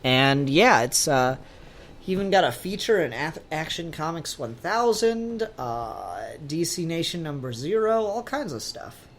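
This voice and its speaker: A man's voice: fairly high pitch, sing-song, unhurried, a bit bright, a little hiss or hum. A man hosting a podcast in casual, rambling conversation with a joking manner. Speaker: podcast host.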